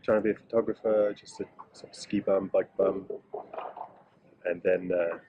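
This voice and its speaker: Joking English man, lowish voice. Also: little pitch movement, normal speed, dark tone, slightly rough, rough recording. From England. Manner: joking, hesitant, with fillers. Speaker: interviewee, mountain bike guide. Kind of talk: casual interview chat.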